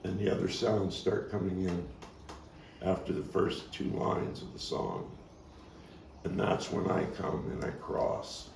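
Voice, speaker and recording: Very low, gravelly man setting a scene. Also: a little slow, darkish tone. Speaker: playwright and actor. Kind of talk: explaining stage directions of own play. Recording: roomy, noisy, distant microphone.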